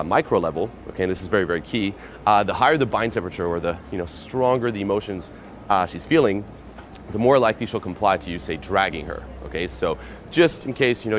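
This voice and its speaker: Low-voiced man coaching in casual slang. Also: slight background noise. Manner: casual, profane, lots of "okay" and "you know" fillers. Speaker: dating coach. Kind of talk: commentary breaking down a recorded pickup.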